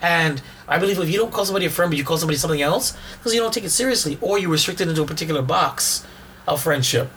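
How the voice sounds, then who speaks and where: Hoarse man, rambling podcast co-host. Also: a little high, lively intonation, very fast, fairly bright tone, a little hiss or hum. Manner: rambling, repeats words and restarts often. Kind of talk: casual chat.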